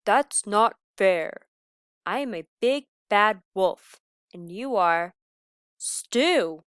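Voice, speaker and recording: A woman voicing an exasperated but soft-hearted old male wolf in a storybook, with dramatic, groaning delivery. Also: medium pitch, lively intonation, drawn-out words, smooth, dry recording, clean studio sound. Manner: dramatic, groaning and howling exclamations.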